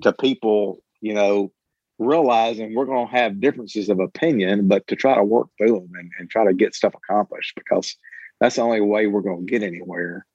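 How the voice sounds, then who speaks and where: A man talking casually in a group discussion, halting and often repeating his words as he goes. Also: fairly low pitch, darkish tone, husky, dry recording, highs cut off. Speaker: book club member.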